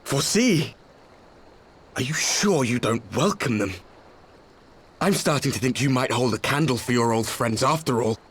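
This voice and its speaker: A man's voice: medium pitch, animated, moderate pace, pauses often, a bit bright, husky. A man playing a bitter, sardonic villain in a fantasy game, with a needling, clipped delivery. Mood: mocking, suspicious. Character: bitter, sardonic male villain plotting for his world. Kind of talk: dramatic fantasy game dialogue.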